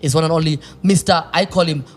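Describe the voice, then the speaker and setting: A man's voice: fairly high pitch, steady tone, fast talker. Casual Kenyan podcast host, a man. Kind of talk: casual conversational interviewing.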